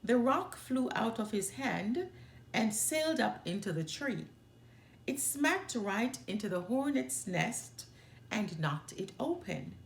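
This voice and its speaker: Slow, expressive woman storyteller. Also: fairly high pitch, gravelly, roomy sound. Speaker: storyteller, children's book reader. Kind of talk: expressive storybook read-aloud narration.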